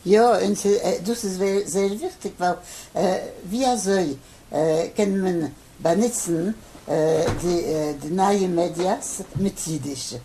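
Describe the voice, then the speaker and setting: An older man from Poland, a native Polish speaker, gives a hesitant interview answer full of pauses and fillers. His voice sits low and gravelly, with a dark, mellow tone. A faint hiss of noise runs underneath. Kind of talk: halting interview in Yiddish.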